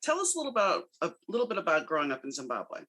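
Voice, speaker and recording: A podcast host with a bright, forceful voice introduces the guest and asks questions. The phrasing is friendly and conversational, with loose, informal restarts. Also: mid-range voice, huge pitch swings, racing. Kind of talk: casual interview.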